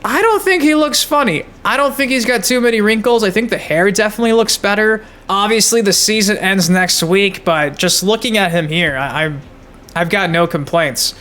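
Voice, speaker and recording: A man's voice: sits high, normal speed, bright, forceful tone. A man giving casual, hyped gaming news commentary as a channel host, slangy and emphatic.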